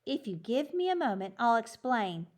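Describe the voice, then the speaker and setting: A woman voicing a calm, reasonable male schoolteacher in a children's storybook, explaining things patiently. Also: on the high side, moderate pace. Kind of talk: children's storybook character reading.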